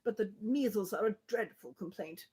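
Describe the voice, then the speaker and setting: A woman's voice: mid-range voice, moderate pace, warm tone, natural voice. A woman reading aloud as a fretful, affectionate elderly father in a storybook, rambling anxiously. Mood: fretful, doting.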